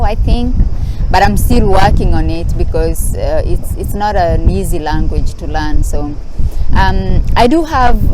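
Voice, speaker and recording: A woman from Kenya, sitting on rocks outdoors and giving casual vlog advice in a hesitant way, pausing often with fillers. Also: mid-range voice. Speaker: vlogger. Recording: outdoor recording with traffic noise.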